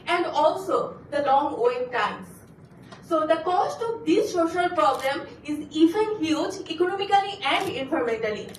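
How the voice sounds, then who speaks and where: A woman from Bangladesh, a graduate student giving an earnest competition pitch, stumbling and restarting now and then. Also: reverberant, distant mic, a little hiss or hum, rough recording. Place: auditorium.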